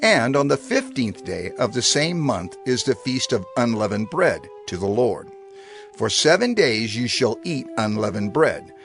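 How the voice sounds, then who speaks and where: A man voicing a grave proclaimer of divine law, measured and authoritative. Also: medium pitch, big pitch swings, a bit bright, faint music behind. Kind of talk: dramatized scripture reading.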